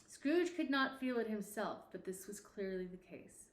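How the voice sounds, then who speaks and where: A woman reads a story aloud as an audiobook narrator, with expressive phrasing and a medium pitch. Her voice carries a clear roomy echo. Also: normal speed. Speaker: audiobook reader.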